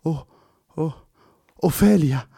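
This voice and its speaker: A man plays a lovesick poet in a storybook tale, his voice pushed high above his usual register and trembling with disbelief. He sounds breathless. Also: extremely slow, gravelly, dark tone, husky, no room sound, clean studio sound, heavily acted. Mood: startled.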